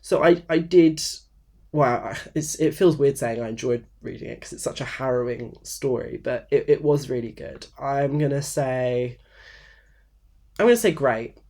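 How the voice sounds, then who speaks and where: Brief: young male book vlogger, stuffy and croaky with a cold, rambling and loose, mid-pitched, recorded on a phone. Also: normal speed, a little mellow. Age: 20s. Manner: rambling, many fillers and restarts. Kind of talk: casual unscripted talk to camera. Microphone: phone camera.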